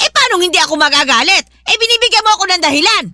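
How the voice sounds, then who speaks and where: A woman's voice: very high voice, animated, very fast, bright, forceful tone, heavily acted. A woman playing a hot-tempered, suspicious older mother-in-law in a radio drama, scolding bluntly and sarcastically with a Filipino accent. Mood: flustered, scolding.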